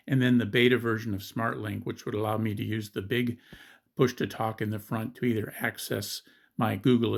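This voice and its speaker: A man's voice: on the low side, gravelly. A man giving a step-by-step product review and app demonstration as a gadget reviewer.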